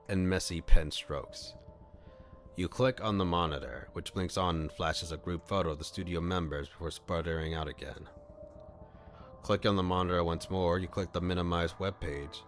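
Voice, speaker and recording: Very deep-voiced man narrating a visual novel. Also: a bit bright. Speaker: streamer reading a visual novel aloud. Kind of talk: livestream narration of a visual novel with casual asides.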